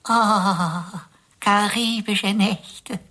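A woman playing a chatty, teasing talking computer sidekick in a comic radio play, with florid, singsong, drawn-out phrasing. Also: medium pitch, sharp tone, full character voice. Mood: dreamy, swooning.

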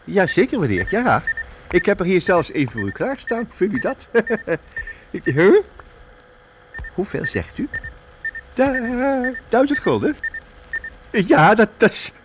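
An eccentric old inventor in a children's radio play. His voice is high and very animated, full of fussy stammers, repeats and chuckles. The recording has clear noise behind it. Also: slow pace, pauses often, exaggerated voice. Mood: excited, triumphant.

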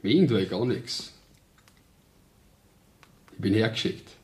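A man's voice: lowish voice, animated, measured pace, darkish tone. A man playing a courteous, flowery-talking rogue of an ex-convict with a Bavarian accent in a radio play. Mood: jovial, courteous. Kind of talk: 1970s German radio play acting in dialect.

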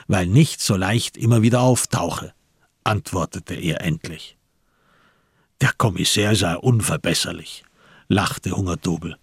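A man reading literary narration for a radio play in a measured storytelling manner. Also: deep voice, husky, dry recording, clean studio sound.

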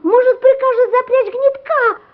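An actress playing an excitable, dreamy eight-year-old boy in a radio play, with a breathless, exclamatory, childlike delivery. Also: very high-pitched, normal speed, phone-quality sound. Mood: excited.

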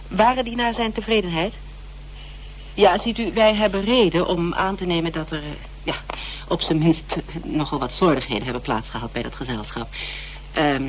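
An actress playing a measured, cautious investigator in a radio play, speaking deliberately with hesitations. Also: female voice, lowish voice, lightly acted. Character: questioning investigator, measured and cautious, sometimes sardonic. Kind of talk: naturalistic radio play acting. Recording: noisy old radio broadcast with hum.

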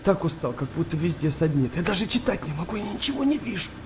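Shy young sailor lad, noisy backdrop. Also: male voice, high-pitched, very animated, fast. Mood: exhausted. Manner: hesitant, confiding, naive. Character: shy, sensitive, exhausted eighteen-year-old sailor lad. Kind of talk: naturalistic radio play dialogue.

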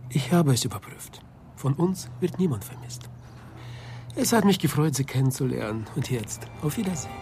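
An actor playing an evasive, dismissive embassy diplomat in a German radio drama, ironic and coolly formal. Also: male voice, medium pitch, expressive, moderate pace, music in the background, close to an everyday voice. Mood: curt, formal.

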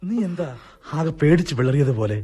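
A man's voice: a little high, lively intonation, brisk, warm tone, husky. A man acting an impatient, suspicious young husband in a radio drama, brusque and questioning.

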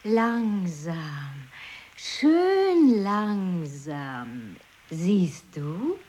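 An actress playing a bossy woman who inspects and gives orders deliberately in an experimental radio play. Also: on the low side, very animated, a little mellow, exaggerated voice. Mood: commanding, probing. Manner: commanding, slow, deliberate phrasing.

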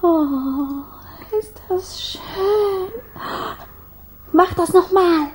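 A woman voicing a young, restless girl fire spirit in a German children's radio play, girlish, excitable and wide-eyed. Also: very high-pitched, extremely slow, slight background noise, full character voice. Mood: wondering, enchanted.

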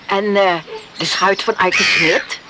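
An actress playing a practical, managing farm wife in a Dutch radio play, brisk and down-to-earth. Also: female voice, medium pitch, fairly even intonation, creaky. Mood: practical, curious. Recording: noisy old radio play recording.

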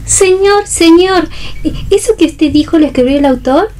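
A woman's voice: very high voice, normal speed, darkish tone. A woman reading an earnest, passionate young female part in a radio play, in a theatrical, declamatory manner. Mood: earnest pleading. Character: earnest pleading young girl, later brazen passionate mistress.

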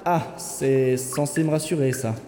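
A man's voice: medium pitch, steady tone, measured pace, warm tone, lightly acted. Echoey, nervous, skeptical sidekick man acting. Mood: uneasy. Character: nervous, skeptical male companion in a tunnel. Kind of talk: audio drama acting. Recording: echoey, long reverb, faint noise.

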